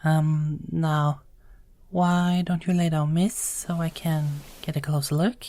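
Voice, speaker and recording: A woman playing a polite, reassuring nurse in an audio roleplay, speaking gently and a little hesitantly. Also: low-pitched, fairly even intonation, slow pace. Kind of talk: soft-spoken audio roleplay acting.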